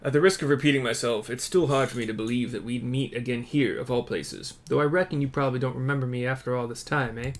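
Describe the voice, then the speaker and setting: Man reading as a steady, friendly seasoned soldier. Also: mid-range voice, fast, few pauses, close to an everyday voice. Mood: warm, reflective.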